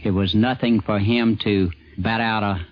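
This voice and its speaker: A man recalls things thoughtfully and haltingly in an unscripted interview. His voice is somewhat low and very unhurried. It comes through a dull 1950s radio recording. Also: fairly even intonation, faint music behind. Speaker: newspaper sports editor.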